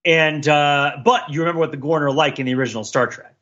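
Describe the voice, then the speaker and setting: A man co-hosting a casual pop culture discussion, talking in a halting, digressive way and often correcting himself. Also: a little high, steady tone, fairly bright tone, lo-fi sound. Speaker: podcast co-host.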